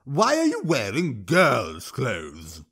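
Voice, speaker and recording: A man voicing a boastful, bigoted male troll minister who jeers and shouts over a crowd, his pitch pushed up and his timbre changed. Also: wildly swooping pitch, slow, vocal fry, husky, full character voice. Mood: mocking.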